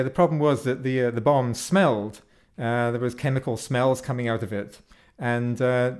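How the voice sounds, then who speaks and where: A man from Scotland with a Scottish accent, speaking to an audience in an unhurried, reflective and affectionate way as he tells personal stories in tribute. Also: mid-range voice, a little mellow. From Perth, Scotland. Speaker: folk musician and speaker at a memorial.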